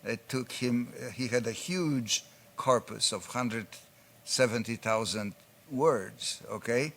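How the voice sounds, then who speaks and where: Man, conference presenter, explanatory, hesitant fillers, mid pitch. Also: slow pace, a bit bright. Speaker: presenter answering audience questions. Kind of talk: conference Q&A talk.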